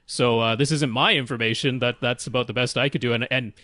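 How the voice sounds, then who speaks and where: A man's voice: medium pitch, steady tone, quick pace, pushed and bright, no echo, studio quality. A man co-hosting a podcast, giving casual tech advice in a candid, hesitant, self-deprecating way. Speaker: podcast co-host.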